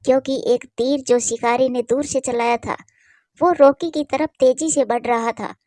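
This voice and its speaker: A woman's voice: high voice, fairly even intonation, moderate pace, dark tone, no echo, clean studio sound. A woman reading scripted narration for a children's cartoon story.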